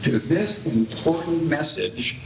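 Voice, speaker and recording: A man's voice: on the high side, slow pace. A man playing a courteous, theatrical 1940s radio show announcer with formal delivery. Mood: showy, formal. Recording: roomy echo, clear background noise.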